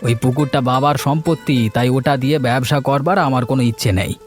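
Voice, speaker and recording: A man's voice: medium pitch, steady tone, quick pace, natural voice. A man voicing a simple, trusting village husband in a cartoon story, speaking in a mild, hesitant manner. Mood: mildly refusing. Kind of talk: Bengali cartoon story voice acting. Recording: music bed under the voice.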